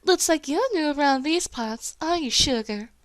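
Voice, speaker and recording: A woman's voice: sits high, animated, moderate pace, no room sound, voice pushed up. A woman voicing a friendly, sugary cartoon cow creature with a folksy Southern US accent. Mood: sweetly welcoming. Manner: folksy, sweet-talking.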